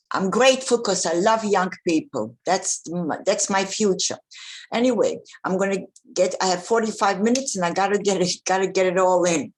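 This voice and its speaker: Elderly woman telling her life story. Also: mid-range voice. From Poland. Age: older. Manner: plain, direct, with restarts and asides. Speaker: Holocaust survivor speaker. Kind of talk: personal testimony talk.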